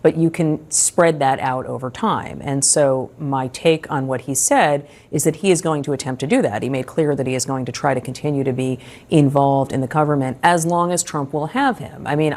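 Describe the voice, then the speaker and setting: A woman's voice: low voice, darkish tone. An American woman, a political journalist, giving analysis in a TV interview.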